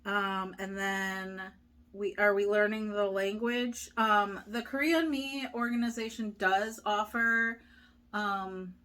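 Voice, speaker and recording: Young woman, casual vlog chat, middle pitch, reads viewer questions, lots of ums. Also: slow pace. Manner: relaxed, many fillers, reads questions aloud. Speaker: vlogger reading viewer questions.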